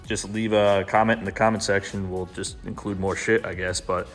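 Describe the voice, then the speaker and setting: A man's voice: low-pitched, little pitch movement, a little fast. A man hosting a car build vlog, talking casually and off the cuff with slang and easy swearing. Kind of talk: casual, unscripted vlog talk to camera. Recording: music playing behind the voice. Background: background music.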